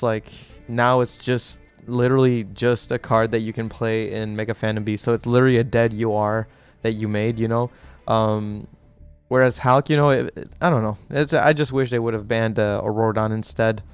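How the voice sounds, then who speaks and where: A young man hosting a gaming channel, chatting in a rambling, excitable way while sick with a cough, with a mic set close to him. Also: mid-range voice, moderate pace, clean tone, faint music behind. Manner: excitable, rambling, swears casually. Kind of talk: casual reaction commentary. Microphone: desk mic set too close.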